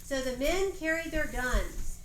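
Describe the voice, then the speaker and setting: A woman narrating a homemade history play like a storybook, reading aloud expressively with deliberate phrasing, in a roomy home recording. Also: high-pitched, lively intonation. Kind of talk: storybook narration for an amateur play, with informal stage directions. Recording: roomy echoey home recording, faint noise.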